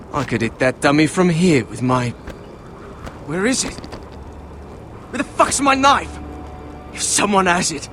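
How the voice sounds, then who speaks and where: An actor playing a hot-tempered, foul-mouthed young recruit who bullies and mocks others in a video game. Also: male voice, high-pitched, creaky, a little hiss or hum, full character voice. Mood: mocking. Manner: mocking, cursing, aggressive. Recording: loud soundtrack music under the voice.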